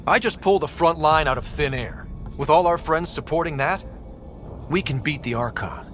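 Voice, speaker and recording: An actor playing a determined young space explorer in a video game, earnest with a dry wit. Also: male voice, on the high side, normal speed, a bit bright, slight background noise, natural voice. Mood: resolute. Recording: music behind the voice.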